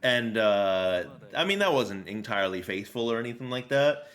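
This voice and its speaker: A young American man hosting a stream, chatting casually in an easygoing, halting way. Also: on the low side, lively intonation, a bit bright. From United States. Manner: easygoing, halting, lots of fillers and laughs. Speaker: streamer host. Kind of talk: casual chat.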